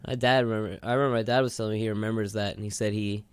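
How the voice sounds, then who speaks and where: A man's voice: lowish voice, fairly even intonation, rapid-fire, smooth, dry recording. A man co-hosting a radio show, chatting casually in a rambling storytelling way. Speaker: co-host of a retrospective radio show. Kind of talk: casual chat.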